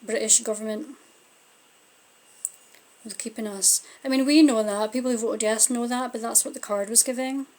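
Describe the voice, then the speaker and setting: A woman's voice: a little high, fairly even intonation, moderate pace, long pauses, a little mellow. Chatty Scottish woman reading tarot. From Scotland. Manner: chatty, thinking aloud, trailing off. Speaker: tarot card reader. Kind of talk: casual live-stream tarot reading. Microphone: phone or webcam on a live stream.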